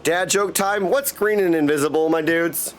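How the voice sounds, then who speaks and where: A man giving casual, excitable, jokey live commentary as he streams a game. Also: fairly high pitch, normal speed, slight background noise. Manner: excitable, jokey, bursts of exclamation.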